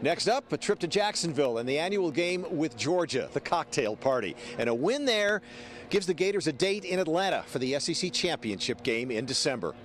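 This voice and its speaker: A man's voice: on the high side, sing-song, a little fast, fairly bright tone. A man delivering a scripted sports report as a television reporter.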